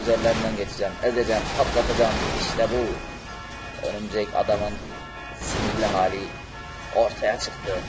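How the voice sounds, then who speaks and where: A man's voice: lowish voice, little pitch movement, normal speed, loud music behind the voice, lightly acted. A man voicing an emphatic male cartoon superhero in an amateur role-play over a video game. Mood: raging. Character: angry, unhinged male cartoon superhero on a rampage. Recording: noisy game audio with explosions and vehicles.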